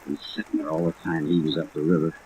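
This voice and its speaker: An actor playing a crooked insurance adjuster turned blackmailer in a 1950s radio drama, with folksy, wheedling slang. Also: male voice, extremely low pitch, level tone, rapid-fire, mellow. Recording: noisy old radio, rain sound overlaid.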